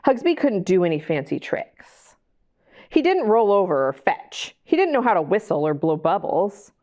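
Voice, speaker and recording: A woman hosting storytime, reading a picture book to young children in an animated way, with chatty questions and asides to the listeners. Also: mid-range voice, moderate pace. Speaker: library storytime host and reader.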